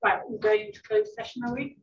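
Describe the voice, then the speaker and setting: A woman's voice: mid-range voice, fairly even intonation, quick pace. A woman chairing a committee meeting, upbeat as she wraps up business. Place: large meeting room. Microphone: far room microphone. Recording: echoey room from far off, dull.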